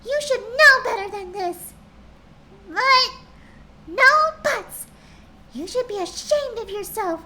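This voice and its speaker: Storybook pony, done by a woman: soft-natured mare lecturing like a strict mom, high and lively. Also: slow pace, long pauses, background noise, heavily acted. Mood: scolding. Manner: scolding, motherly lecturing. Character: gentle mare turned stern scolding mother figure.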